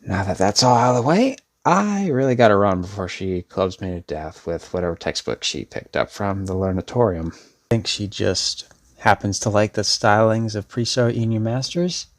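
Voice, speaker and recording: A man playing a sincere, protective brother in an intimate ASMR roleplay, speaking hesitantly and earnestly with a creaky voice. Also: lowish voice, lightly acted. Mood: light, teasing. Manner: hesitant, earnest, with trailing pauses. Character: protective older brother, a laid-back but sincere young man. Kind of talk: ASMR audio roleplay, intimate one-sided dialogue.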